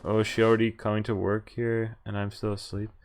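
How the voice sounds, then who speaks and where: A man's voice: on the low side, monotone, a little fast, slight background noise. A man giving casual, amused and incredulous live commentary on a visual novel game, reading some of its text aloud. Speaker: game streamer.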